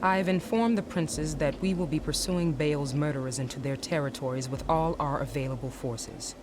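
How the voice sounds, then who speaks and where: A woman's voice: low voice, fairly quick, natural voice. A woman playing a cold, zealous villainous war marshal, haughty and commanding, in a video game. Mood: imperious. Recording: clear noise behind the voice.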